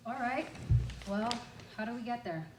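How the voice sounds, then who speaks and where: A woman's voice: fairly high pitch, moderate pace, breathy edge. A student actress playing a wry, game female ex-treasure hunter in an amateur radio play. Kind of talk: amateur radio theater acting.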